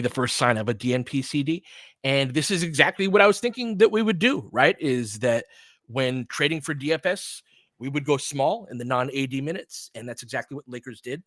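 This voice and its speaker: A man giving casual, analytical basketball commentary off the cuff, thinking aloud in a halting, conversational way. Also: medium pitch, a bit bright, dry recording. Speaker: audio play-by-play host and basketball analyst. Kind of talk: casual off-the-cuff sports commentary, answering listeners.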